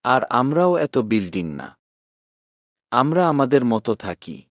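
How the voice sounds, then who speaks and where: Man reading as chatty, frank student girl, unhurried. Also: medium pitch, big pitch swings, dry recording. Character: chatty, frank, bickering young female student. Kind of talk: storybook dialogue reading.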